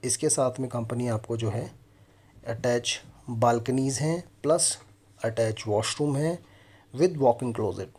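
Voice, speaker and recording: A man's voice: mid-range voice, slow, darkish tone, breathy edge. A man in his thirties with an Indian accent, presenting a property walkthrough as host and explaining things directly to viewers. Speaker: property consultant and video host. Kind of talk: property walkthrough presentation mixing Hindi and English.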